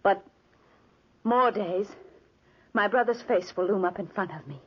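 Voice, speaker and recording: An actress playing a grieving, resolute woman torn between love and distrust, with deliberate melodrama in a 1940s radio play. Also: mid-range voice, slightly rough. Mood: sorrowful, resolute. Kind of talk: 1940s radio drama acting. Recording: muffled old 1940s radio recording.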